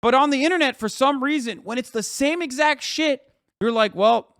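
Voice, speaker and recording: A man live streaming, reacting to tweets and lecturing his chat in a profane, animated way full of rhetorical "okay?" asides. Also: extremely high pitch, normal speed, clear, resonant tone, dry recording, clean studio sound.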